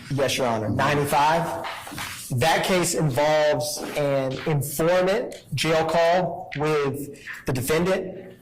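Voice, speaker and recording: A male prosecutor with a moderately high voice addresses a court. His delivery is formal and methodical, and he is deferential as he sets out his legal argument. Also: slow pace, a little hiss or hum, low-quality recording.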